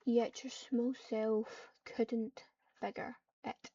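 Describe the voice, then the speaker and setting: A woman voicing a scheming, scornful evil cat in an online game roleplay, with measured, theatrical, formal delivery. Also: on the high side, little pitch movement, dark tone, slight background noise. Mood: mocking scorn. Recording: dull, distant home microphone.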